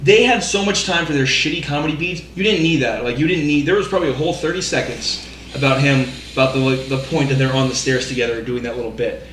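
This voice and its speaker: A man with a mid-pitched voice rants casually on a podcast about movies. He is exasperated and emphatic and swears freely. The room echoes around him. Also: fast, fairly bright tone. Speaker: podcast co-host. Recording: roomy echo, slight background noise.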